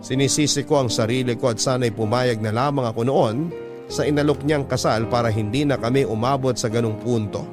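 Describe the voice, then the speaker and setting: Man narrating a letter story like old school radio, medium pitch, music playing under him. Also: steady tone, brisk. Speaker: radio host reading a listener's letter. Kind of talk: scripted first-person story narration, classic radio storytelling. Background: music bed.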